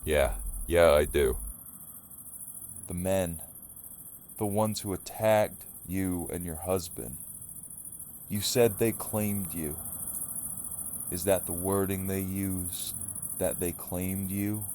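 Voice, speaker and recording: Man acting a grim, very deep-voiced survivor leader. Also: steady tone, takes it slowly, pauses often, darkish tone, a little hiss or hum. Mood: apologetic, probing. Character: Rick, grim wary male survivor group leader. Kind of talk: audio drama acting.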